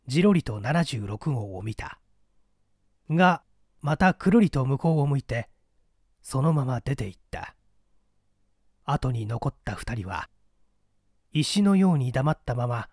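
A man's voice: medium pitch, darkish tone, dry recording, clean studio sound. A man reading a mystery story aloud as dramatic scripted narration. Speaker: audiobook reader.

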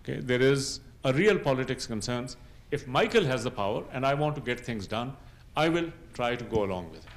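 Man, middle-aged, mid-pitched voice, panel speaker, measured explanatory delivery, frequent tag questions. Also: animated. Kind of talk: explanatory panel talk, unscripted. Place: university lecture room, seated at a panel table.

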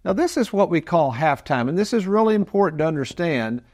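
A man's voice: on the high side, expressive, fairly quick, darkish tone. A man in his sixties presenting financial advice as a show host, folksy and emphatic as he explains to viewers. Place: TV studio set, indoors.

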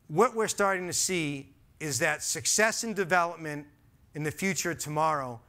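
Man, Boston accent, forties, stage talk, headset mic, bright forceful tone, slightly high voice. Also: smooth. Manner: earnest, emphatic, gestures while speaking. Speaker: conference speaker. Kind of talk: stage presentation. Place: large dark auditorium stage.

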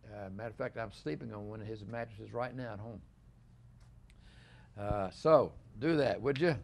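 An older man hosting a relaxed studio interview, talking in a rambling, halting way with many restarts. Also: fairly low pitch, creaky, a little hiss or hum. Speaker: host. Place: small living-room studio set.

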